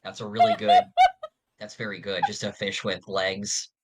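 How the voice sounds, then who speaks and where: A man's voice: fairly low pitch, huge pitch swings, normal speed, very noisy. A man co-hosting a fan podcast in casual chat, with a dry, joking way of talking. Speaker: podcast co-host.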